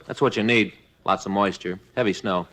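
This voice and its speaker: An actor playing an easygoing, talkative police detective partner with a casual manner in a 1950s radio drama. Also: male voice, deep voice, normal speed, a bit bright. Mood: casual, chatty. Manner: casual, conversational.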